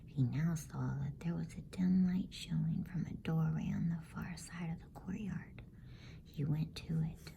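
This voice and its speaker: A woman reading a bedtime story as ASMR in a hushed, unhurried whisper. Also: deep voice, steady tone, gravelly, slight background noise.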